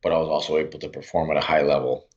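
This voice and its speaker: Man, 40s, from Miami, very low voice, earnest, halting, frequent restarts, lo-fi sound. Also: steady tone, a little mellow. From Miami, USA. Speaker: podcast guest. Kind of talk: reflective interview talk. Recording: dull lo-fi sound.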